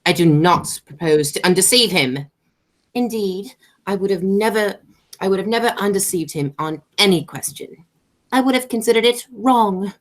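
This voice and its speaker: A woman's voice: mid-range voice, animated, normal speed, close to an everyday voice. A woman playing a haughty, stern aristocratic mother and aunt in an amateur radio play, declaiming with snobbish authority.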